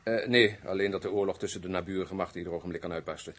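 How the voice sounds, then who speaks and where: An actor playing a courtly, wry military major in a radio drama, speaking in a formal and gallant manner. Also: male voice, sits low, fairly even intonation, very fast, a bit bright. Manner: formal, gallant, muttering asides.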